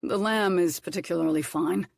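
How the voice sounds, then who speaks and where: A reserved earl with a gentle, measured way of speaking, voiced by a woman narrating a storybook. His lines stay close to her own lowish voice, calm and dry. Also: vocal fry, no room sound.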